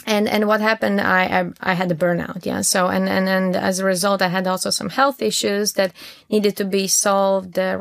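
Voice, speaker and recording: Creaky-voiced young Latvian woman, dry sound. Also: medium pitch, a bit bright, no room sound. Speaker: interview guest, startup founder. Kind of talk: conversational interview answers.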